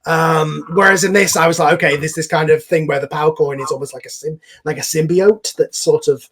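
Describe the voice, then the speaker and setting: Rambling man, slightly higher voice. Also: steady tone, a little fast, fairly bright tone, husky. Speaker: host and series creator. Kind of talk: casual discussion chat. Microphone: online voice call.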